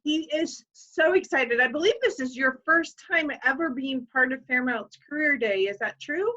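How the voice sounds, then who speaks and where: A woman teacher speaks to children over a video call in a high, bright, bubbly voice. Her tone is exaggerated in the way teachers talk to young kids. The call audio is dull and lo-fi. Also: animated, moderate pace. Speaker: elementary school teacher hosting career day. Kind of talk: enthusiastic classroom welcome.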